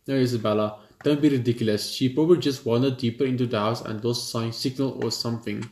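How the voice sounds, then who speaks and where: A man's voice: mid-range voice, fairly even intonation, fast, darkish tone. A young man reads visual novel text aloud as a let's player, informally, with stumbles and casual reactions. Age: young adult. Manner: informal, stumbles while reading. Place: indoor room at home. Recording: roomy echo, clear background noise.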